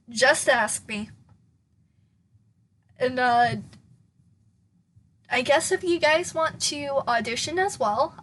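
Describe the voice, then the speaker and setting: Young woman, high voice, real slow casual talk, like an amateur voice audition intro. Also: fairly bright tone, a little hiss or hum, rough recording.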